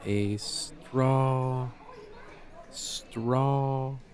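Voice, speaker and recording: A man's voice: mid-range voice, fairly even intonation, extremely slow, a little mellow. A man voicing a proud, scornful dragon teacher in a roleplay, speaking in pompous, stilted, formal phrases. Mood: smug, condescending. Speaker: roleplay voice performer. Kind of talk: ASMR roleplay monologue, theatrical.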